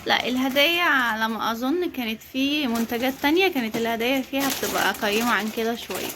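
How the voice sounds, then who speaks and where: Fast-talking, high-voiced Egyptian woman, noisy recording. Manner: chatty, explaining, prompting a child. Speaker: mother co-hosting a family unboxing vlog. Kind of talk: casual vlog commentary to viewers. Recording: clearly noisy home-video recording.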